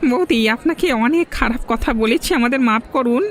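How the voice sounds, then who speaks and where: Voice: mid-range voice, fairly quick, some chatter behind, a little hiss or hum, lightly acted. An actor playing an earnest, firm village shopkeeper in a folk-tale cartoon. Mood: apologetic, earnest. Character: village shopkeeper, apologetic to friends, firm against bullies.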